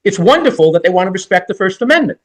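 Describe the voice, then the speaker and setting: A man's voice: high-pitched, sing-song, fast. A man in his sixties, a defense lawyer, making an oral argument in court in an emphatic, rhetorical and repetitive way.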